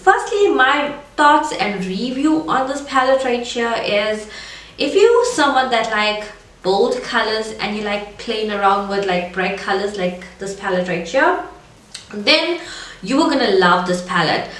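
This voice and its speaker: A woman's voice: fairly high pitch, expressive, unhurried, fairly bright tone. A woman with a cold and a scratchy, congested voice, chatting casually through a makeup review in a rambling way. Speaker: beauty vlogger. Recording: echoey room, far from mic, faint noise.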